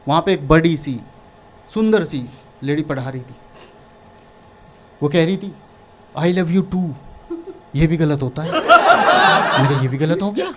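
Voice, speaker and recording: A man's voice: high voice, a little hiss or hum. A man from Uttar Pradesh performing a stand-up comedy set, telling stories and acting out dialogue to a laughing audience. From Uttar Pradesh, India. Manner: animated storytelling, acting out dialogue.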